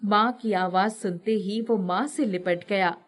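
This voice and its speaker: A woman narrates a dramatic story as a storyteller. Her voice sits at an average female pitch and follows a script. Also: steady tone, measured pace.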